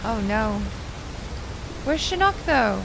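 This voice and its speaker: Slow-paced woman reacting casually. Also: on the high side, big pitch swings, gravelly, noisy background. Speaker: reaction show host.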